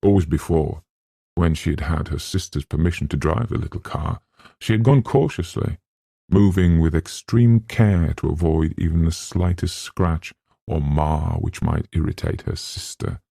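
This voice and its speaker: Male audiobook narrator, literary storytelling, measured, husky, mellow, very dry close recording. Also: extremely low pitch, no echo.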